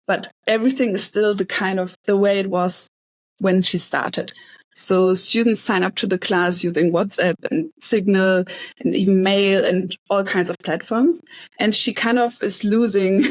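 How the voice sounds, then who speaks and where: A young woman from Hamburg, a bootcamp graduate, explaining her project in a relaxed, chatty way. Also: mid-range voice, no room sound. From Hamburg, Germany. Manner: relaxed, chatty, light laughs. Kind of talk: casual project presentation.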